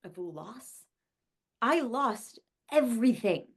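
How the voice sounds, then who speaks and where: A woman acts out a combative young female office worker in a dramatic script reading. The voice is high and very animated. She argues with sharp sarcasm and heavy emphasis. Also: takes it slowly, breathy edge, exaggerated voice. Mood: hurt, furious. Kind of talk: dramatic table-read acting.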